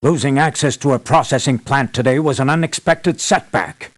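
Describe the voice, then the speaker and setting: An actor playing an earnest, measured male leader giving formal, deliberate briefings in a scripted video game. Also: mid-range voice, lightly acted. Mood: grave, urgent. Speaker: mission briefer.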